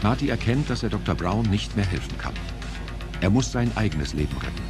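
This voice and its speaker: A man's voice: fairly low pitch, sing-song, fairly quick, music in the background. A man narrating an audio drama in a dramatic, scripted style.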